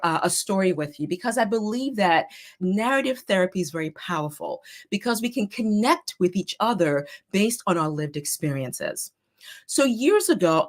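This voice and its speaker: Slightly hoarse woman giving a talk. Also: fairly low pitch. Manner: steady, explanatory, earnest. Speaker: guest speaker, therapist and life coach. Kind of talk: prepared talk to an online group.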